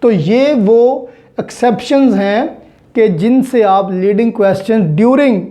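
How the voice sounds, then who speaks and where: A woman with a Pakistani accent delivers an explanatory lecture at a measured, slow pace. Her tone is mellow and relaxed, at a middling pitch. She is didactic, dropping in English terms and repeating what matters. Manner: didactic, repeats and rephrases key points. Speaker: law teacher.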